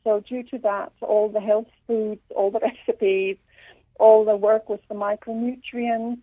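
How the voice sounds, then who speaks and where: A woman from Switzerland with a slight Irish accent, a radio interview guest who talks haltingly with many pauses and restarts. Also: mid-range voice, flat delivery, no room sound. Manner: halting, full of ums and restarts. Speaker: guest author. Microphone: telephone. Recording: tinny phone line.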